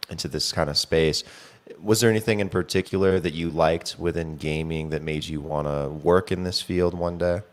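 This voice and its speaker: A man hosting a podcast interview, relaxed and loose in his questions. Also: rumbling low. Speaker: podcast host. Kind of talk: casual interview.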